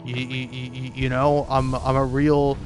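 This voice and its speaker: A man's voice: mid-range voice, completely flat delivery, normal speed, natural voice. Man parodying a young guy forcing a macho voice, boastful, over music. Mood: mocking. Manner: boastful, mocking. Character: mocked young man faking a deep macho voice.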